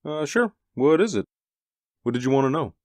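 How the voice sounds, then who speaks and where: A man playing a plain-spoken, sceptical building superintendent in a radio drama, offhand and matter-of-fact with hesitant pauses. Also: mid-range voice, big pitch swings, no room sound, close to an everyday voice. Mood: puzzled, businesslike.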